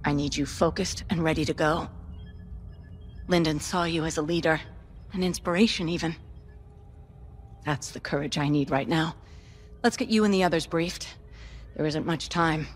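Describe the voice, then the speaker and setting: A resolute alien general for a video game. Her low voice is measured and commanding. She briefs her pilots gravely, like an officer who expects to be obeyed. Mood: grave, encouraging.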